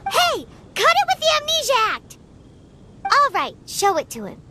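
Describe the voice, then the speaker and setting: A woman voicing a tiny, childlike floating fairy companion in a video game, blunt, excitable and cheeky, with a squeaky voice. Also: normal speed, background noise, full character voice. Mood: indignant. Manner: refers to self in third person, exclaiming. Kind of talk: video game character acting. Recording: music behind the voice.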